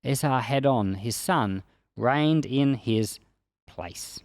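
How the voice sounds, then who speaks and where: A man's voice: medium pitch, takes it slowly, no echo. A man speaking as a pastor, reading and explaining scripture in a plain-spoken, explanatory way. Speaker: pastor and Bible podcast host. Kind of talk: scripture reading followed by informal devotional commentary.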